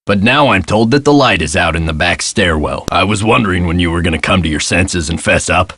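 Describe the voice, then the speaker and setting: A very low, dry-recorded voice a middle-aged American castle caretaker in a mystery game. He is gruff and folksy, with a weary, guarded way of talking in clipped phrases. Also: animated, a little fast, a bit bright, dry recording. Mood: brisk. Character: gruff world-weary male castle caretaker, guarded about his past. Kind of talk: video game voice acting.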